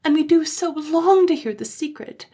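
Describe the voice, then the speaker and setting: A woman voicing a group of young children who cry out and beg in high, breathless voices, in a storybook reading. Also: animated, moderate pace, dark tone. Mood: pleading. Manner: high, breathless, pleading cries.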